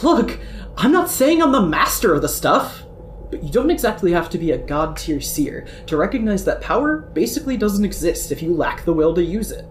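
A woman's voice: on the low side, sing-song, fairly quick. A woman voicing a cocky, brash female troll who taunts, swears freely and talks down to others in an amateur audio drama. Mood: lecturing. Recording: echoey room with faint noise.

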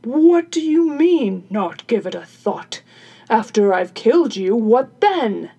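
A woman voicing a blunt, booming, exclamatory male carpenter in a folktale. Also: very animated, takes it slowly, mellow, husky, highs cut off, heavily acted. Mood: exasperated. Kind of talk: folktale character voice in storytelling.

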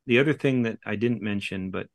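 A man's voice: lowish voice, brisk, no room sound. A man, a company founder, patiently explaining how a braille device works, step by step, on a call-in show.

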